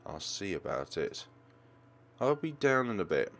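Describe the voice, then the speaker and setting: A man's voice: low-pitched, big pitch swings, a little slow, a bit bright, heavily acted. A man voicing an anxious, reserved teenage student in a visual novel, polite and hesitant. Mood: subdued.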